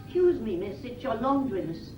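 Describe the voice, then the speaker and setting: An actress playing a tart, loyal British housekeeper in a radio play, curt and stiffly respectful with an indignant edge. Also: female voice, on the high side, big pitch swings, moderate pace, darkish tone, soft background music. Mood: guarded, indignant. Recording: muffled old radio drama recording.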